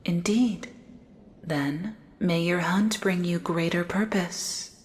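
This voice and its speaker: Woman voicing a slow, serene living doll. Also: lowish voice. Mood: serene, solemn. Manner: slow, formal, soft-spoken. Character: serene living doll, gentle otherworldly female guide. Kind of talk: gothic audio drama acting.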